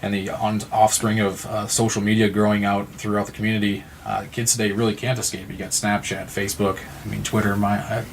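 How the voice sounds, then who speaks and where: Male, 30s, podcast guest. Low voice, flat delivery, earnest and wordy, some background noise. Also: brisk, hardly any pauses. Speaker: podcast guest from a support non-profit. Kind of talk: conversational interview talk.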